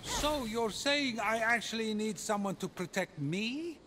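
A man's voice: sits high, expressive, unhurried, background noise. An actor playing a vain, needy male god and comic narrator in a game, whiny and melodramatic. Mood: self-pitying. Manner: whiny, melodramatic, self-pitying. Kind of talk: animated game voice acting. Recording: music behind the voice.

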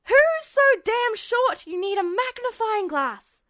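A woman's voice: very high voice, sing-song, takes it slowly, fairly bright tone, dry recording, studio quality, voice pushed up, full character voice. A woman voicing a short, hot-tempered young male alchemist, exploding with indignation in a story reading. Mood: furious.

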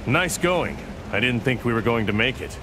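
An actor playing a friendly, gruff military squad soldier in a video game cutscene. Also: male voice, animated, normal speed, lightly acted. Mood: relieved. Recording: loud aircraft rumble behind.

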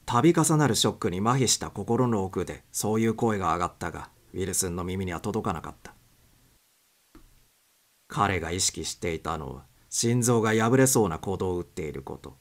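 Very low-voiced male storyteller. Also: animated, normal speed. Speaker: audiobook narrator. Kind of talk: scripted narration of suspense fiction.

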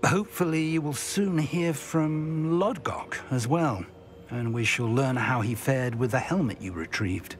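A man's voice: medium pitch, measured pace, over music, close to an everyday voice. Man playing a kindly old wizard professor. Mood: encouraging, brisk. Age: older. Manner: formal, encouraging. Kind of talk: video game cutscene dialogue.

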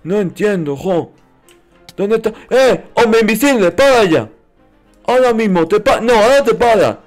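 A man's voice: sits high, lively intonation, moderate pace, faint music behind. A man doing playful roleplay commentary over a video game, talking in a childlike, naive, exclamatory way. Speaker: gaming video host.

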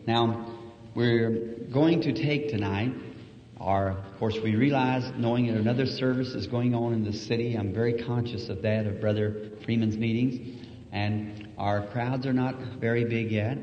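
Folksy preacher in an old echoey hall. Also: male voice, medium pitch, steady tone, a little slow. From United States. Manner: humble, folksy, soft-spoken with small laughs. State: slightly hoarse voice. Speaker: evangelist preacher. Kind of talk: sermon. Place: church auditorium. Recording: old echoey hall recording, dull and hissy.

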